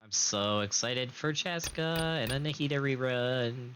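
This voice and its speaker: A young man streaming a game in casual chat, recovering from illness and slightly raspy, clearing his throat now and then. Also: mid-range voice, a bit bright, slight background noise. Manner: slow, chatty, occasional coughs and throat clearing.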